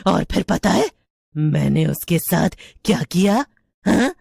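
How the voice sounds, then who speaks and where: Cartoon witch played by a woman: menacing neighbour, slow taunting threats, close dry sound. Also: lowish voice, animated, gravelly, darkish tone, slightly rough, dry recording, full character voice. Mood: mocking menace. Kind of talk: Hindi horror cartoon storytelling.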